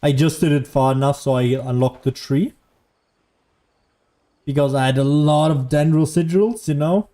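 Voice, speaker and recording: A man's voice: on the high side, normal speed, pauses often, warm tone. A man giving casual, sarcastic commentary as he plays a video game. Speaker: gaming streamer. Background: faint game music.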